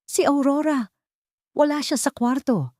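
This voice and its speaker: A woman's voice: high-pitched, measured pace, a little mellow, dry recording, studio quality, pitched above the performer's own voice. A woman voicing a frightened young mother in a story, anxious and pleading. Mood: panicked. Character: frightened, desperate young mother searching for her child. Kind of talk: storybook drama.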